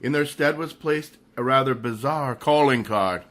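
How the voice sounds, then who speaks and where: A man playing a suave, wealthy amateur sleuth with measured, precise speech in a radio mystery. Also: medium pitch, a bit bright, natural voice. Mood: composed.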